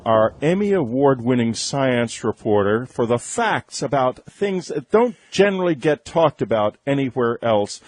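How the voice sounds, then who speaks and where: Male announcer, scripted radio intro, smooth read, dry close studio sound. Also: medium pitch, darkish tone, dry recording. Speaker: show announcer.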